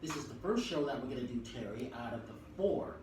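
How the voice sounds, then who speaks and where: A male talk show host giving a brisk broadcast intro and trading teasing banter with his round-table guests. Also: medium pitch, expressive. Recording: echoey room, mic far off, noisy.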